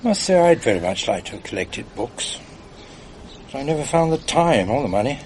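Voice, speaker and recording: An actor playing a kindly, courteous middle-aged father with a quietly amused manner. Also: mid-range voice, very animated, normal speed, slight background noise, natural voice, low-quality recording. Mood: genial. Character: genial, gentle middle-aged English father.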